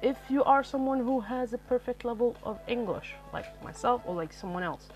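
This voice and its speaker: A woman's voice: fairly high pitch, normal speed, darkish tone, soft background music. Rambling woman podcaster, Arabic native. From Algeria. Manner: rambling, self-correcting, lots of fillers. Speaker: podcast host. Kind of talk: solo podcast talk on writing.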